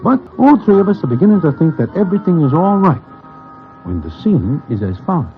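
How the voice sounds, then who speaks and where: An actor playing a wary small-time New crook who narrates a 1940s radio drama in stilted, formal gangster slang. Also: male voice, on the high side, very animated, brisk. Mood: wry narration. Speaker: narrator and character. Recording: dull vintage radio recording, music behind.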